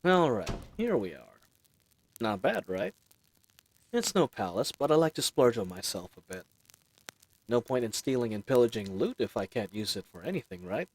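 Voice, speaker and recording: A man's voice: medium pitch, big pitch swings, moderate pace, slightly rough, low-quality recording. A man playing a friendly, roguish bandit leader in a fantasy audio roleplay, talking in a casual, teasing, chatty way. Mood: teasing, easygoing. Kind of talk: fantasy audio roleplay acting, speaking intimately to listener.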